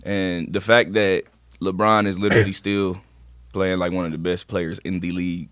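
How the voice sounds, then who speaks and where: A man's voice: low-pitched, little pitch movement, moderate pace, a bit bright, smooth, dry recording. An American man co-hosting a podcast, debating sports casually in an emphatic, slangy, opinionated way. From Akron, Ohio, United States. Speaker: podcast co-host.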